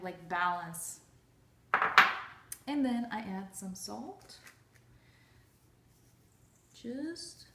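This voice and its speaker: Slow, chatty woman from Russia, echoey kitchen. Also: mid-range voice, noisy background. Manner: chatty, halting, with many fillers and restarts. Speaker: cooking vlogger. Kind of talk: casual recipe demonstration. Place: home kitchen. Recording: echoey kitchen, voice far from mic.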